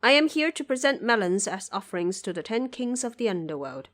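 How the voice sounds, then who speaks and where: A woman's voice: fairly high pitch, very animated, fairly quick, fairly bright tone. A woman as humble, formal male envoy. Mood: formal, respectful. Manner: formal, declarative, respectful. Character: humble, dutiful dead man serving as imperial envoy.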